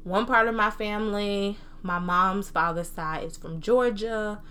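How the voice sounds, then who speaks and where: Animated young American woman, bright forceful voice. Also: mid-range voice, measured pace, smooth. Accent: American, New Jersey mixed with Southern. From New Jersey, US. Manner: animated, informal, slangy. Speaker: podcast host. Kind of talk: casual solo podcast chat. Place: own room at home.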